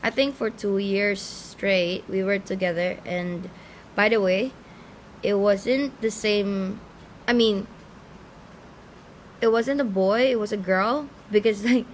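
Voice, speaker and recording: A woman's voice: mid-range voice, takes it slowly, pauses often, a little hiss or hum. A woman in her twenties, raised in the Philippines, talking to camera in a chatty, distractible, self-mocking way full of "like" and restarts. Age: 20s. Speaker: vlogger. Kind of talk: casual rambling chat to camera. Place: room in the Philippines.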